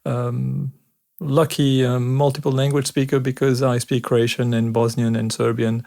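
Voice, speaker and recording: A man in his forties from Switzerland, a sports doctor chatting as a guest at home in a relaxed, thoughtful way with many pauses and fillers. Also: medium pitch, vocal fry. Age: 40s. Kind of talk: casual conversation. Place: home, indoors.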